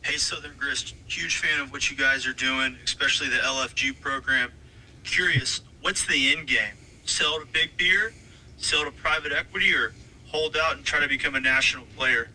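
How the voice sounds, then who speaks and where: A man's voice: medium pitch, steady tone, normal speed, sharp tone. A man asking a recorded listener question, friendly and direct, recorded from a distance. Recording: distant mic, faint traffic noise.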